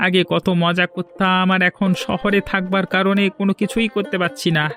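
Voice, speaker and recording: A man's voice: high-pitched, level tone, normal speed, fairly bright tone. A man voicing a self-important, touchy grown son who works in the city, in a cartoon fairy tale. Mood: cheerful, nostalgic. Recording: music under the voice.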